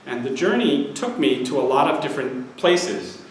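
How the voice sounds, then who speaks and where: A man in his forties giving an academic history lecture, measured and explanatory, steadily listing places. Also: mid-range voice, sing-song, slight background noise. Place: lecture hall. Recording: very echoey hall with faint ambience.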